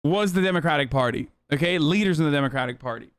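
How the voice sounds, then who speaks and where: A man's voice: on the high side, quick pace, clear, resonant tone. A man streaming political commentary, reacting to clips bluntly and profanely with many fillers.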